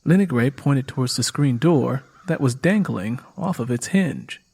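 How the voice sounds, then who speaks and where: A man's voice: medium pitch, expressive, measured pace, gravelly, a little mellow, breathy edge. A man reading scripted story narration as the narrator of an audiobook.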